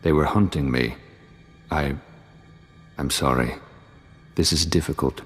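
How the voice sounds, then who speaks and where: A man's voice: extremely low pitch, measured pace, breathy edge, exaggerated voice. A man playing a remorseful, haunted Jedi in a video game, somber and hesitant. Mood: haunted, sorrowful. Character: remorseful, haunted, very deep-voiced male Jedi. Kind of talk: dramatic scripted game acting. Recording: long hall-like reverb, music behind.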